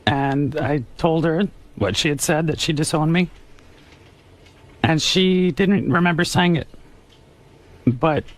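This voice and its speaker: A young man with a somewhat high voice recounts something personal in a radio interview. His delivery is earnest and halting, like careful confession.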